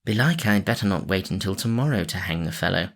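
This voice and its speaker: A man's voice: low-pitched, lively intonation, fast, a bit bright, full character voice. A man voicing a scheming, gloating sheriff who raises his voice to bark orders. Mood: brooding.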